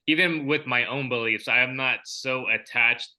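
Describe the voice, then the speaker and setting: A man's voice: mid-range voice, moderate pace, pushed and bright, rough recording. A young adult man co-hosting a podcast, talking in a reflective, rambling way with many fillers. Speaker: podcast co-host. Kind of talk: casual chat.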